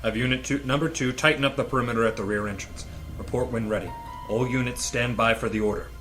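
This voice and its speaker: A man's voice: mid-range voice, fast, fairly bright tone, faint music behind, close to an everyday voice. A man playing a stern, commanding officer of a dystopian enforcement squad, giving clipped orders in a drama reading. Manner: clipped radio-protocol commands. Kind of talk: dramatic script table read.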